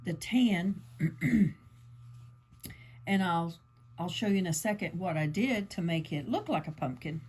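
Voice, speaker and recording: A woman, a little croaky from allergies, chatting her way through a casual yarn craft tutorial with lots of ums and asides. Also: medium pitch, darkish tone, a little hiss or hum. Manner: chatty, meandering, lots of fillers. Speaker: crafting channel host.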